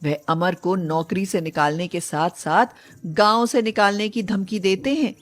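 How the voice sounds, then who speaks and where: Slow-paced woman narrating a story. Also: mid-range voice. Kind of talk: scripted narration of a moral story.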